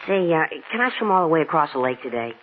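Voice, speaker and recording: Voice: medium pitch, sing-song, fast, narrow, tinny audio, slight background noise, natural voice. An earnest, trusting boy played by an actor in a 1940s radio drama. The sound is thin and boxy, like an old broadcast through a small speaker. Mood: eager, boyish. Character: eager boy swimmer, trusting, tiring and frightened.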